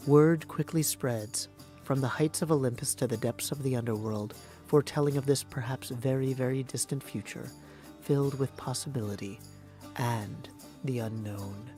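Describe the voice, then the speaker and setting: Game epic narrator, performed by a man: solemn, measured, middle register, grand pronouncements over soft music. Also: mid-range voice, close to an everyday voice. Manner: grave, measured, portentous. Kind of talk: scripted mythic game narration.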